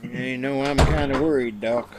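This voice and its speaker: A man's voice: medium pitch, steady tone, moderate pace, over music, natural voice. An American man with a rural accent playing a good-hearted, fretful frontier sidekick in a radio Western. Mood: worried. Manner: folksy, fretful. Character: good-hearted, worrying, folksy frontier deputy's helper. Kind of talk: old-time radio Western acting.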